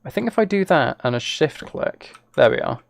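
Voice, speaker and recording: Gravelly man thinking aloud on a stream. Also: fairly high pitch, very animated, normal speed, no echo. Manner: thinks aloud, chatting with viewers. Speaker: live streamer and host. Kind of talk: casual live stream chat while playing a game.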